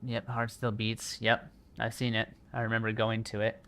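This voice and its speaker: A man's voice: fairly low pitch, completely flat delivery, moderate pace, fairly bright tone, a little hiss or hum. A man giving casual livestream commentary over gameplay, musing and thinking aloud. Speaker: game livestreamer.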